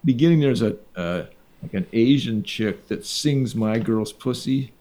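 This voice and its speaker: A man's voice: medium pitch, a little slow, dark tone. A man, a musician guest on a radio show, chatting casually about old records.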